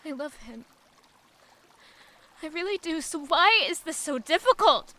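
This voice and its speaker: Woman playing a guarded young maid, high bright voice, short defensive answers, audio drama. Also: a little hiss or hum, exaggerated voice. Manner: curt, defensive replies.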